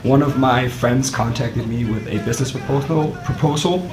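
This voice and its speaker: A man's voice: medium pitch, normal speed, darkish tone, faint music behind. A man, a startup co-founder speaking casually and bluntly. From Denmark. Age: 20s. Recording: echoey room.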